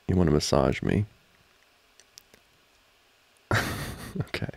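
A man playing an amorous, giggly student boyfriend in an intimate ASMR roleplay, talking haltingly and teasingly close to the mic. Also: a bass voice, animated, vocal fry, no echo, heavily acted. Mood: teasing, flustered. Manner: halting, soft-spoken, chuckling, teasing. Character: amorous, giggly young male student boyfriend trying to study. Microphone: close microphone, dry.

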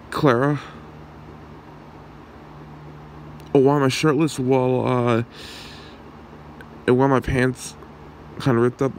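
A man's voice: mid-range voice, a little hiss or hum, close to an everyday voice. A man playing a shy, awkward young man smitten with his friend, speaking hesitantly in an ASMR roleplay. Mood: flustered, friendly. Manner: hesitant, halting, soft-spoken.

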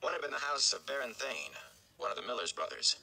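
Guy in his 30s reading a novel dramatically, deep-ish with some fry, sharp bright tone. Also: sing-song, fast, rough recording. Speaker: read-along reader. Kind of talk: dramatic audiobook narration. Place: indoor room at home.

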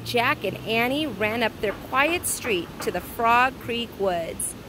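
A woman's voice: on the high side, lively intonation, slow pace. A woman reading a children's story aloud expressively, like a teacher, recorded outdoors. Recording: clear outdoor ambient noise.

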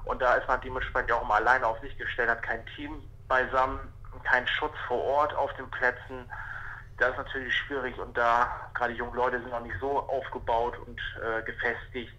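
Male, thirties. Very sharp, bright voice, mid pitch, unscripted interviewee speaking over the telephone. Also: flat delivery, a little fast. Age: 30s. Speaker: football referee, interview guest. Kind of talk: spontaneous phone interview. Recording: telephone line.

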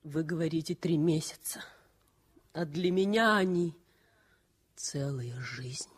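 An actress voicing Alena, a young woman nurse who is gentle and devoted, in a 1960s radio drama. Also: low-pitched, lively intonation, slow, breathy edge, exaggerated voice. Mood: moved.

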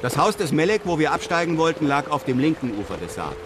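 An actor playing a composed, fearless European traveller, narrating and acting in a radio drama in a measured, articulate way. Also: male voice, fairly high pitch, sing-song, noisy background. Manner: measured, articulate, self-assured.

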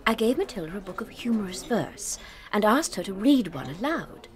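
Casting: woman as sweet British schoolteacher in children's audio drama, mid pitch, lively intonation, patient and earnest. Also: normal speed, natural voice. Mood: earnest, amazed. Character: sweet, gentle young female primary school teacher. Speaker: teacher.

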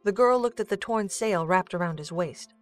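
A woman's voice: medium pitch, moderate pace, soft background music. A woman giving scripted narration for a storybook-style audio drama.

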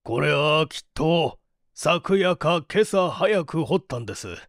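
Male narrator playing an agitated manor gentleman, storybook mystery, raised pitch, emphatic, anxious, dry sound. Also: animated, takes it slowly, dry recording, full character voice. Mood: tense, grave.

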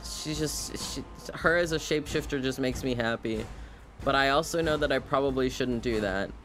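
A man's voice: medium pitch, normal speed, a bit bright. A man giving casual, informal live commentary as a game streamer, musing aloud to himself. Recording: game music behind the voice.

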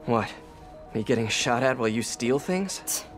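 Young male superhero male actor, boyish and quippy, very lively intonation, game cutscene with music behind. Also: mid-range voice, a little slow, lightly acted. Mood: earnest. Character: wisecracking, earnest young-adult male superhero. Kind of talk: video game cutscene acting. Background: dramatic game music.

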